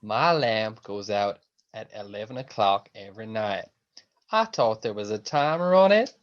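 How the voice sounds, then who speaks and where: A man's voice: lowish voice, animated, moderate pace, natural voice. Man playing a cheeky teenage son, dull sound. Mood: wry. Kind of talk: amateur radio drama acting.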